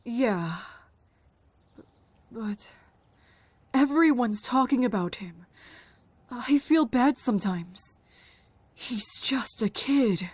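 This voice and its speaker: A woman's voice: a little high, animated, drawn-out words, long pauses, a little mellow, husky, no room sound, full character voice. A woman playing a weary, sympathetic comrade, casual and a little hesitant, in a conversational audio drama.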